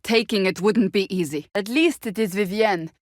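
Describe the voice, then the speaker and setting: A woman's voice: mid-range voice, moderate pace, no room sound, studio quality, close to an everyday voice. An actress voicing a stern, proud, devout warrior woman in a fantasy video game, with formal, clipped, earnest delivery. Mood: earnest, measured. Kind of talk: dramatic fantasy game dialogue.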